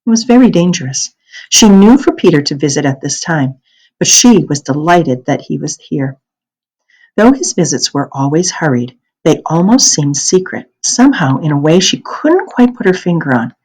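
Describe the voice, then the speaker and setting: A woman reading a children's novel aloud as an expressive narrator. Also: low voice, normal speed, husky, rough recording.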